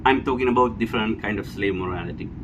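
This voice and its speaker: A man's voice: fairly low pitch, quick pace. A man with a Sri Lankan accent giving an unscripted, blunt opinion monologue, emphatic and repetitive, often checking for agreement. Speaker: vlogger giving personal opinion. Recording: clear steady background noise, air-conditioner hum.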